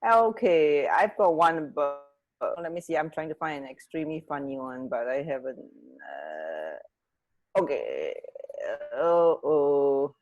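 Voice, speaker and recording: A woman's voice: low voice, big pitch swings, slow pace, muffled recording. A woman from Singapore co-hosting a radio show, swapping trivia in a chatty, hesitant, giggly way. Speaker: radio co-host. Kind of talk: casual banter, sharing trivia.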